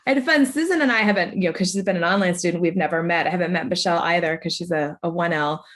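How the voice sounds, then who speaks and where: A woman's voice: on the low side, fast, a bit bright. A middle-aged American woman with a chatty, conversational manner, presenting awards informally. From Oregon, United States. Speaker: ceremony presenter, law faculty.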